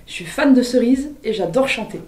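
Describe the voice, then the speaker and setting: A woman's voice: on the high side, lively intonation, a little fast, background noise. A woman of twenty-six, a civic service volunteer, speaking in a thoughtful, composed way. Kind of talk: personal testimonial. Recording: roomy distant recording, faint guitar.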